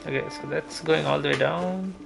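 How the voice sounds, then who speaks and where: A man's voice: a little high, moderate pace, creaky. A man giving casual gameplay commentary, thinking aloud as he mutters through each step to himself. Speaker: video game streamer. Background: game music.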